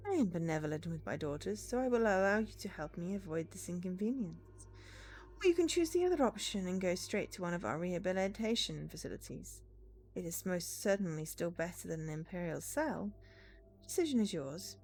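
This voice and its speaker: Woman reading as cold, imperious villain, fairly low. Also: expressive, a little fast, darkish tone. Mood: condescending. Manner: formal, condescending. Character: cold, imperious male villain in a cyberpunk game.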